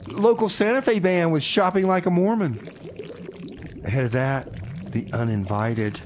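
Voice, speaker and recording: A man hosting a radio music show with casual, chatty banter. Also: medium pitch. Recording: noticeable watery background noise.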